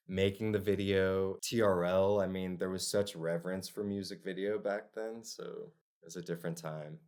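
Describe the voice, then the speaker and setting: A man from the Czech Republic who grew up in Los Angeles, a music video director talking as a podcast guest in a relaxed, reflective way with frequent "like" fillers. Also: sits low, moderate pace. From Czech, raised in Los Angeles. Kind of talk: casual interview conversation.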